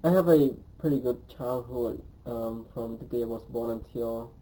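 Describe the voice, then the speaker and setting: A man's voice: mid-range voice, warm tone, low-quality recording. A man in his twenties from Cambodia, speaking English, recalls his life in an oral history interview and often stops to say um. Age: 20s. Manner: hesitant, pauses often with um.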